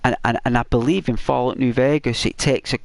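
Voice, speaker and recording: A man hosting a gaming channel, chatting casually and rambling to his viewers in a croaky, hoarse voice. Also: medium pitch, fairly even intonation, fairly quick, no echo. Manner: rambling, talks straight to viewers.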